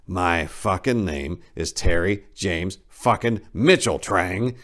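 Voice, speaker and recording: A man's voice: deep voice, takes it slowly, fairly bright tone. A man voicing a bitter, hot-headed young American army corporal, griping and profane, in a war story reading. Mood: angry.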